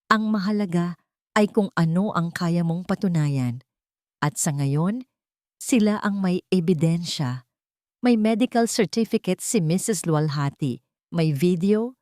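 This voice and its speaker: A woman voicing a serious, blunt male lead lawyer in a story, explaining in a measured way. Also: lowish voice, a little mellow, dry recording, studio quality.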